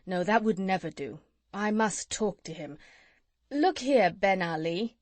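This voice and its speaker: A woman voicing a gentle, polite male animal doctor who speaks softly and courteously. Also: medium pitch, lively intonation, a little slow, no echo, close to an everyday voice. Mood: mild. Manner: mild, courteous, soft.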